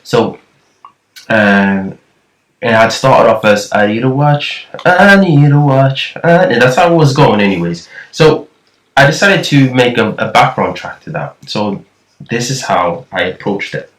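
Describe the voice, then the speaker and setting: A man's voice: mid-range voice, animated, measured pace. A man with a thin voice giving a casual, rambling tutorial on nasheed production, often restarting his sentences. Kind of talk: casual screen-recorded tutorial. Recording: echoey room, far from the mic.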